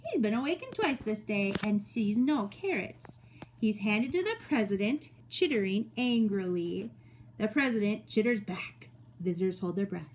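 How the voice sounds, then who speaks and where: A woman teacher reading a story aloud to young children in an expressive way, with casual spoken asides. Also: mid-range voice, moderate pace, a little hiss or hum, low-quality recording. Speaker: teacher reading story time to second graders.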